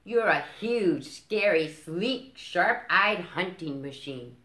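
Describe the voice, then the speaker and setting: Woman as a tender, slow-talking mother owl. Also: on the low side, lively intonation, a bit bright, echoey room. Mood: soothing. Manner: cooing, reassuring.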